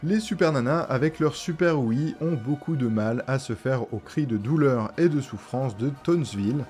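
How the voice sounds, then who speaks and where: A man's voice: medium pitch, animated, moderate pace, darkish tone. A young man giving a scripted, irreverent recap commentary in a colloquial, sarcastic way, with music behind him. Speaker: video essayist narrator. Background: background music.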